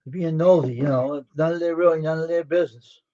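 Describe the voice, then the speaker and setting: A man's voice: fairly high pitch, fairly even intonation, fast talker, warm tone. Guarded man on a dull line. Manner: guarded, repeats himself, short agreements. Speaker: live stream co-host. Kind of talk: casual chat.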